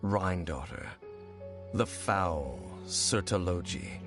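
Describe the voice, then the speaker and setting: An actor playing a grave, brooding ancient warrior in a video game, speaking in a low, measured, solemn way. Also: male voice, lively intonation. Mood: bitter grave. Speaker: game character. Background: faint game music.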